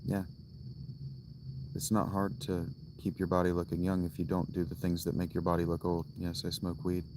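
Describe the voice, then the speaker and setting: A man in his thirties chatting on a casual livestream from inside a tent, musing slowly and restarting his thoughts as he goes. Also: low-pitched, monotone, background noise. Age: 30s. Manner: slow, musing, thinks aloud with restarts. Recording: loud crickets and outdoor ambience. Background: crickets.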